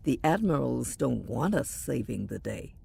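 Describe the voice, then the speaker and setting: A woman's voice: extremely low pitch, big pitch swings, unhurried, vocal fry, a little mellow, breathy edge, heavily acted. A woman playing an elderly starship captain in a science fiction audio drama, wistful and wry, with a dramatic, emphatic delivery. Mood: indignant.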